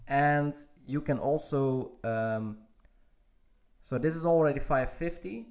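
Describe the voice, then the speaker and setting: A man chats casually with viewers during a game livestream. His voice sits at an ordinary middle pitch. He talks loosely and stops often with fillers as he checks things in the game. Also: takes it slowly, darkish tone. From Netherlands. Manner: answers chat questions while playing, hesitant with many fillers. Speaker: game streamer.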